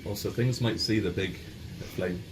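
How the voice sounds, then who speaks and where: A man's voice: lowish voice, moderate pace, background noise. A man chatting casually with friends as a player in a tabletop game, with a dry, jokey manner. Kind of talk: casual chat among friends.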